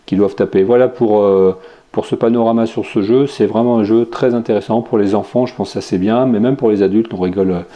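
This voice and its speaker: A man's voice: on the low side, fairly even intonation, a little fast, mellow. A French man hosting a podcast, casually reviewing tech and games with many fillers and restarts. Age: adult with children. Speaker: podcast host.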